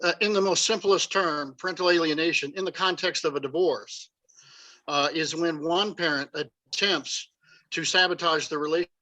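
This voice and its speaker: Halting man with a bright voice. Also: a little high, poor audio. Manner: halting, many fillers. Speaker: guest. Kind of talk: personal testimony.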